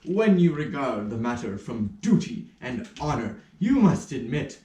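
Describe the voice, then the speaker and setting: A man playing a pompous, flustered army major in a puppet show, theatrical and full of drawn-out exclamations. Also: mid-range voice, very animated, warm tone, a little hiss or hum. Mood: indignant pleading. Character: flustered pompous army major who lost his nose. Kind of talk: puppet show stage acting in rehearsal. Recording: roomy echo, somewhat distant mic.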